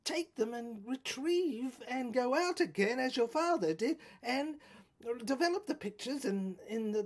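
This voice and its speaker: A woman's voice: fairly high pitch, darkish tone. A woman voicing a playful, boastful, bossy female character in an audio drama, with exclamatory, theatrical delivery.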